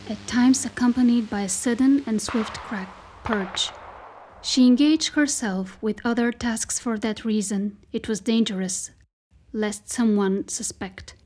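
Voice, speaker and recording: A woman performing as the storyteller of a dystopian folk tale, reading scripted literary narration in a measured, descriptive way. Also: fairly high pitch, warm tone, slight background noise, natural voice.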